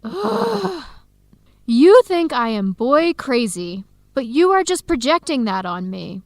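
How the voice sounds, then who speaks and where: An actress playing an earnest young American woman researcher in a radio drama, with clear, expressive, carefully enunciated speech. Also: high voice, slow, dry recording, studio quality, full character voice. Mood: defensive, exasperated. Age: young adult. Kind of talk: scripted radio drama dialogue.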